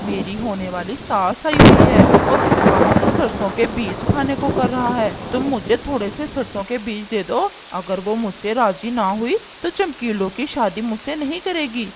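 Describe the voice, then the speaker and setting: A woman's voice: fairly high pitch, expressive, normal speed, hardly any pauses, very noisy, lightly acted. A woman voicing a hapless, henpecked male crow in a Hindi cartoon, with a whiny, ingratiating manner. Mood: pleading. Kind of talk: Hindi cartoon character acting.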